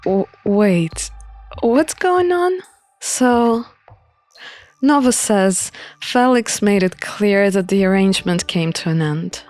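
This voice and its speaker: A woman reading a visual novel aloud and commenting on it as she plays, in a deliberate manner. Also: mid-range voice, expressive, darkish tone. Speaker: game playthrough narrator. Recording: music under the voice.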